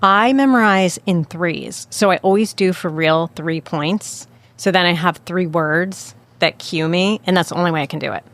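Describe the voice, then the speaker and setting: An American woman hosts a casual local chat show with a slightly low voice. She is bubbly and blunt, laughs easily, and swears freely. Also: moderate pace, a bit bright. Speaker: host.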